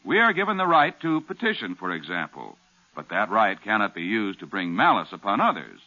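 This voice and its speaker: A man's voice: lowish voice, sing-song, brisk, pushed and bright. An American man reading a scripted 1950s radio public service announcement in a solemn, declamatory way. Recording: muffled old radio broadcast.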